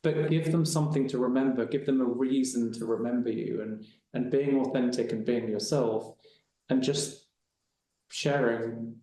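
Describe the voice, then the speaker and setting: Pogodny, gawędziarski mężczyzna w bardzo pogłosowym pokoju. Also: male voice, mid-range voice, steady tone, normal speed, warm tone. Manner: rambling, anecdotal, with frequent restarts. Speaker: webinar panelist. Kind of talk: casual storytelling on a panel. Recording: very echoey room.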